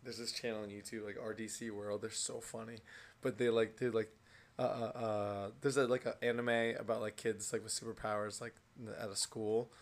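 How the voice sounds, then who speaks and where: A young man co-hosting a podcast, his voice a little hoarse, chatting casually with lots of filler words and frequent laughter. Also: fairly low pitch, level tone, normal speed. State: hoarse from a lost voice. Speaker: podcast co-host. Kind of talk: casual chat.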